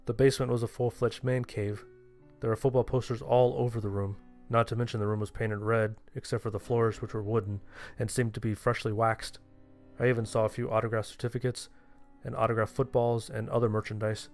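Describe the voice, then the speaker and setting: A man's voice: medium pitch, little pitch movement, brisk, soft background music. A man reading a scripted first-person horror story as its narrator.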